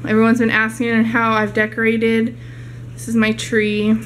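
A woman's voice: a little high, monotone, moderate pace, a little hiss or hum, low-quality recording. A young woman chatting casually at home as she shows off her grocery haul to a handheld camera. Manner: chatty, rambling show-and-tell. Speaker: vlogger. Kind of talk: casual haul video narration. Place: home living room.

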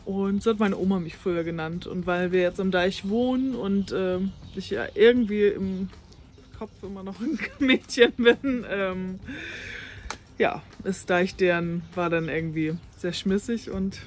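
A woman's voice: medium pitch, measured pace. A woman, a farm life blogger talking casually outdoors on a farm, with many fillers and restarts. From North Frisia, northern Germany. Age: 30s. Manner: chatty, with many fillers and restarts, laughs easily. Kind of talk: casual interview talk. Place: outdoors on a farm lawn by a brick farmhouse.

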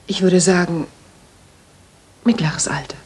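A woman's voice: lowish voice, steady tone, takes it slowly, a little mellow, slightly rough, exaggerated voice. An actress playing a worried, polite young lady in a German radio drama, recounting carefully and earnestly.

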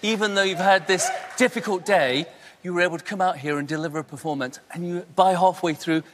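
A man's voice: high voice, fairly quick. A middle-aged man giving live critique as a competition judge. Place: large TV ice-show studio. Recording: echoing noisy hall with crowd. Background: crowd noise.

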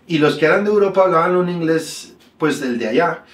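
A man in his thirties from Mexico with a Mexican accent, a guest telling stories in a relaxed, slangy way in a small room. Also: a little high, brisk, far from the mic. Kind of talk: casual storytelling interview. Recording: echoey room.

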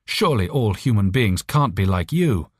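An alien mind from a science fiction audiobook, voiced by a man, pleads across space. It sounds urgent and despairing, with the pitch pushed up. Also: expressive, normal speed, breathy edge, no echo, studio quality. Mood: desperate.

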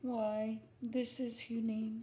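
A woman's voice: fairly high pitch, little pitch movement, slow pace, dark tone. A woman playing a grieving, lovelorn young radio drama heroine with a plaintive delivery, repeating her words. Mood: wondering. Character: grieving, lovelorn woman weeping for a lost man. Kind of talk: live vintage-style radio drama acting. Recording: noisy live theater radio recording.

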